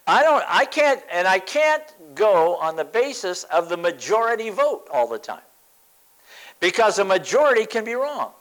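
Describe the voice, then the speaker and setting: An older man in his seventies preaches with an emphatic, repeating style. His voice sits a little high and swings widely in pitch as he presses each point home. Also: a bit bright, low-quality recording. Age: 70s. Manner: emphatic, repeats phrases for stress. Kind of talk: sermon.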